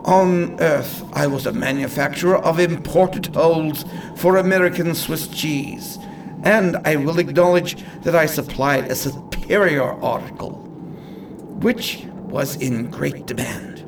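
A man's voice: on the high side, measured pace, far from the mic, noisy background. A man playing an eccentric, chatty inventor and salesman in a radio play, courteous and theatrically proud. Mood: boastful. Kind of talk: amateur radio play acting.

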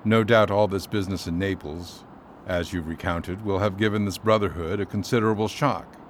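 An actor playing a shrewd, skeptical London solicitor in a radio drama, speaking in a measured, clipped way. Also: male voice, low voice, steady tone, slight background noise, natural voice. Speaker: lawyer.

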